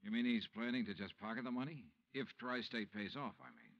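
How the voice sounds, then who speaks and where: A man's voice: medium pitch, steady tone, a little fast, gravelly, no room sound, muffled recording, natural voice. A man playing a wry, world-weary insurance investigator, narrating and talking in 1950s radio detective drama style with clipped, dry delivery. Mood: businesslike, questioning. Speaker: lead actor and first-person narrator. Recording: vintage radio recording with loud music.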